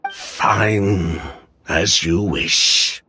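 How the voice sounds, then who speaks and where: An actor playing a slick, evasive merchant in a video game, speaking with formal, condescending politeness. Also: male voice, medium pitch, noisy background. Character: slick, evasive merchant brother, smooth-talking ex-convict swindler. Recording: music under the voice.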